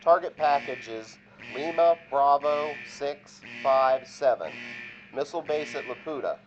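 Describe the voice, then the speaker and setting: An actor playing a formal military command dispatcher, reading launch codes in a clipped procedural way through a radio filter in an audio drama. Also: male voice, on the high side, measured pace, lo-fi sound. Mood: stern, procedural. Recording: band-limited radio sound with music behind.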